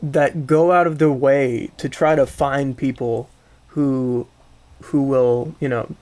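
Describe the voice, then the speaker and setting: A man hosting a casual podcast conversation, talking haltingly and circling back to rephrase with keen interest. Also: medium pitch, steady tone, a little mellow. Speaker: podcast host.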